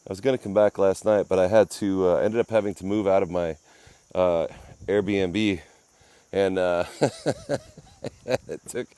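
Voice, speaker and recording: A man's voice: low voice, steady tone, unhurried, long pauses, dark tone, smooth, dry recording. A man livestreaming outdoors from a phone with an ear-worn mic, chatting casually, rambling, and greeting viewers by name. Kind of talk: casual live-stream chat. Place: outdoors at a garden cafe.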